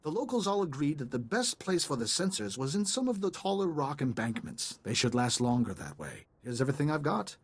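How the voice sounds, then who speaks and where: A man's voice: fairly high pitch, big pitch swings, a little fast, few pauses, breathy edge. A man playing an eager, polite ambassador in a video game, chatty and apologetic in manner.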